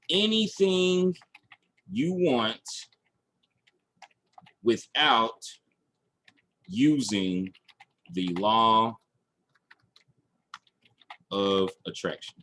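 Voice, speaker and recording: A man's voice: mid-range voice, a bit bright. A man teaching online marketing in a screen-share tutorial, talking through each step aloud and checking in as he goes. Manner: instructional, checking in with "okay" and "all right".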